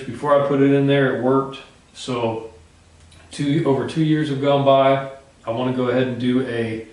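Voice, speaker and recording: A man's voice: medium pitch, darkish tone, poor audio. A man hosting a casual product review in an informal, folksy, plain-spoken way. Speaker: gear review channel host.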